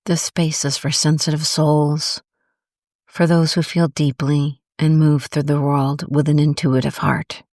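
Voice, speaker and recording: A woman narrates a guided meditation at a slow, restful pace. Her delivery is gentle and calm, with pauses between phrases. The sound is dry and intimate, with little room around the voice. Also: sits low, no room sound.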